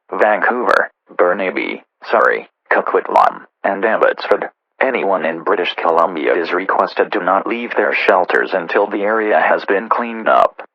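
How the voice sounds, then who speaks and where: Very low male voice, creaky, formal synthetic emergency announcement, dull radio-like audio. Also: dry recording. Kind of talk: scripted emergency alert. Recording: dry, muffled radio-like audio.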